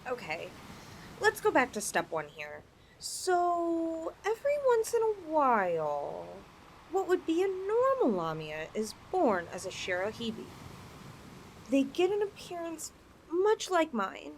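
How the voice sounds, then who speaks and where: Woman voicing a high, lilting, possessive lamia girl. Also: huge pitch swings, slow, a little hiss or hum, full character voice, poor audio. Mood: explaining, wistful. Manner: coaxing, playful, dramatic swings in delivery. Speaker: audio roleplay performer. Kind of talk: ASMR roleplay, acted monologue addressed to listener.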